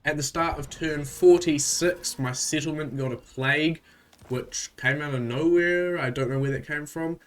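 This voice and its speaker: A man's voice: fairly high pitch, moderate pace. Stuffy-voiced man casually recapping a game. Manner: relaxed, loosely recapping with frequent fillers. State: stuffy from a cold. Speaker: gaming channel host. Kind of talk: casual strategy game commentary.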